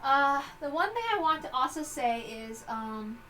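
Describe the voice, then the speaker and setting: A woman hosting a read-along, giving casual commentary in a rambling, halting way that often interrupts itself. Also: high voice, expressive, pushed and bright, a little hiss or hum. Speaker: host reading a visual novel aloud.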